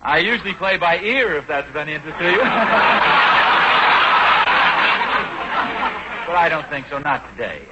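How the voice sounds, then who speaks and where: A man's voice: a little high, expressive, fast talker, natural voice. An American actor playing a sheepish, self-pitying husband and father in a 1940s radio comedy. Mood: modest. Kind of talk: 1940s radio sitcom acting. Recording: noisy, muffled 1940s radio recording.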